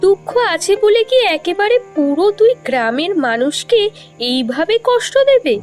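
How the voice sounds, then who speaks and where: A woman's voice: very high voice, sing-song, normal speed, darkish tone, soft background music. A woman playing a distressed, complaining village woman in a fairy-tale cartoon, pleading as she talks. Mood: indignant.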